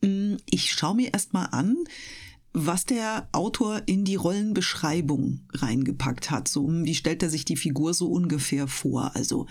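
Female interview guest, slightly low voice, thoughtful colloquial explainer, casual radio chat. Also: unhurried, a little mellow. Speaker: interview guest, voice actress and streamer.

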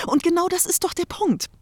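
A woman's voice: very high voice, unhurried, dry recording. A woman playing a teasing, flirtatious storyteller and confidante in a comic audio drama, cooing pet names theatrically. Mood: saucy, encouraging.

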